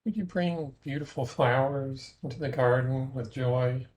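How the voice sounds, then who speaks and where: Man, meditation guide, dark relaxed tone, medium pitch, gentle measured delivery, long pauses, guided imagery. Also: low-quality recording.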